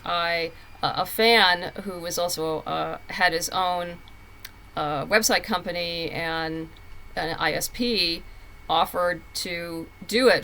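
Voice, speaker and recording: A woman's voice: on the low side, bright, forceful tone. A woman speaking candidly and conversationally as a guest in a casual interview about her own work. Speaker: interview guest, comic book writer.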